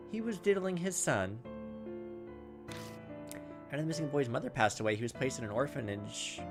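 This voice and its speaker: A man hosting a gaming video, reading on-screen text aloud and adding flippant commentary. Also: medium pitch. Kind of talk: let's-play commentary mixed with reading game text aloud. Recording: game music behind the voice. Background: video game music.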